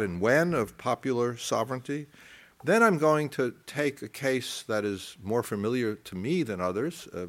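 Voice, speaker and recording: A man giving an academic lecture, halting and discursive, circling back with stammered restarts and putting deliberate stress on key phrases. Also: on the low side, a bit bright.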